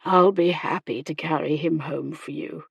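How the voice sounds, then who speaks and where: A woman voicing a gentle, gallant gentleman in an audiobook, with polite, formal, soothing phrasing. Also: low-pitched, fairly even intonation, moderate pace, gravelly, breathy edge, no room sound, heavily acted. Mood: gentle, reassuring. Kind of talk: audiobook character dialogue.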